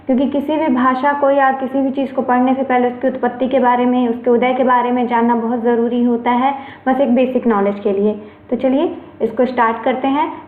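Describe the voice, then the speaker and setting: High-voiced woman teaching in an echoey room. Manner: explains and repeats points, often checking in. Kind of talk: online exam-prep lesson. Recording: echoey, phone-like lo-fi recording.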